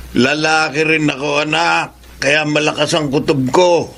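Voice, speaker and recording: A man playing a nosy, scheming middle-aged father in a Filipino radio drama, gravelly, blunt and probing. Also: fairly high pitch, fairly even intonation, measured pace, fairly bright tone, slight background noise. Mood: sly, urging. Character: nosy, scheming father pushing his daughter to romance.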